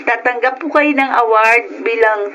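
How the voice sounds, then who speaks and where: Friendly schoolgirl: Filipino, fairly high voice, radio drama acting, faint background noise. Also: moderate pace, pushed and bright. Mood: excited, admiring. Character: friendly, admiring young female student.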